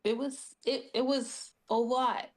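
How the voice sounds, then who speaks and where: A woman's voice: high-pitched, fairly even intonation, takes it slowly, darkish tone. A woman chatting casually as a player in a tabletop game. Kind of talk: casual chat.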